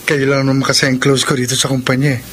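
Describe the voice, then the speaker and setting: A man's voice: mid-range voice, little pitch movement, quick pace, a bit bright, slight background noise, natural voice. A man playing a courteous young suitor in a radio melodrama, speaking with a Filipino accent in a hesitant, sincere way. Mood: earnest, tender. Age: young adult. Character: earnest, courteous young gentleman, shyly courting his boss. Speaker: radio drama actor. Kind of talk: Philippine radio melodrama acting, Taglish. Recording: background music under the voice.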